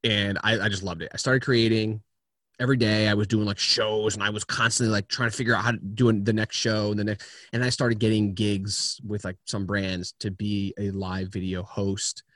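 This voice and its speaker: A man talking casually as a guest on a video-call interview from home, chatty and lively as he tells stories about himself. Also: fairly low pitch, no room sound, studio quality. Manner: chatty storyteller, quick and animated.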